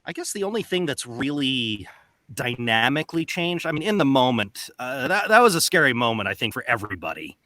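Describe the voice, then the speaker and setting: Animated, bright-voiced man. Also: mid-range voice, brisk, no echo. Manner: animated, expressive. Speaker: voice actor panelist. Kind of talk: casual panel chat. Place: home recording booth, on a video call. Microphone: close studio microphone.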